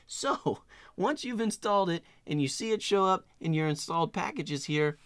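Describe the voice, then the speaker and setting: A middle-aged man hosting a casual screen-share software tutorial in a tongue-in-cheek, playfully exaggerated way. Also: sits high, animated, normal speed, clear, resonant tone, dry recording. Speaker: tutorial host.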